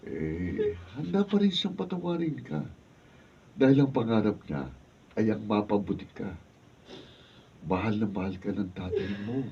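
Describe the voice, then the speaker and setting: A man's voice: on the low side, expressive, measured pace, gravelly, pauses often. A man acting in a Filipino radio drama as a concerned, loyal friend giving earnest advice, with a Filipino accent. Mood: concerned, pleading. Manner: earnest, pleading, admonishing. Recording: distant mic, dull noisy room recording.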